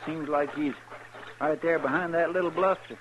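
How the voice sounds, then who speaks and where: An eager, good-natured frontier sidekick, played by a male radio actor, chatty and deferential. His voice sits a little high, with a rural American twang and drawl. It comes off a vintage radio western with a faint bed of hiss. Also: normal speed, lo-fi sound, lightly acted. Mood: easygoing, curious. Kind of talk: 1950s radio western acting.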